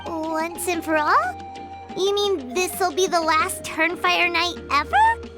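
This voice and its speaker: Squeaky, excitable little childlike companion. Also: female voice, takes it slowly, exaggerated voice. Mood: puzzled. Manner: squeaky, expressive, stammering when flustered. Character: small childlike floating companion, excitable and easily flustered. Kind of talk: English video game voice acting. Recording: loud background music.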